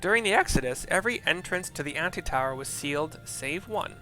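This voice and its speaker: A man's voice: on the high side, lively intonation, fairly quick, sharp tone, faint music behind, poor audio. A man voicing a crotchety old sorceress for a game, sardonic, brusque and imperious.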